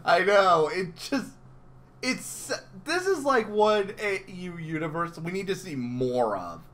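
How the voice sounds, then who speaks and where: A man chatting casually as an interviewer, with an excitable, exclamatory way of talking. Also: high-pitched, takes it slowly. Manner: excitable, exclamatory, swearing emphatically. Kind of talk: casual fan interview chat.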